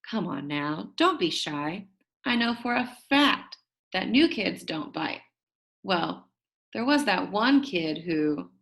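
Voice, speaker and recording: A brisk, good-humoured substitute teacher as a woman reads him aloud addresses his class from a children's storybook. He is instructive and a little jokey, getting the pupils down to business. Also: on the low side, big pitch swings, gravelly, fairly bright tone, heavily acted. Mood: coaxing, jokey.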